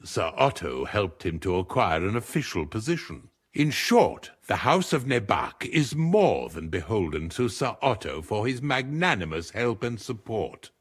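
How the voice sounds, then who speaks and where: A man playing a dutiful advisor to a lord in a video game, husky-voiced, formal and explanatory. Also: fairly low pitch, big pitch swings, a little slow, heavily acted. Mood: matter-of-fact. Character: dutiful, formal lord's advisor, informative and exact. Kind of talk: video game cutscene acting.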